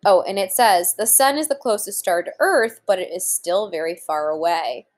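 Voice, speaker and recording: A woman in her thirties reading aloud to young children as a teacher, pausing to explain things simply and deliberately. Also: mid-range voice, animated. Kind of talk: children's read-aloud lesson with explanatory asides. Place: room at home, indoors.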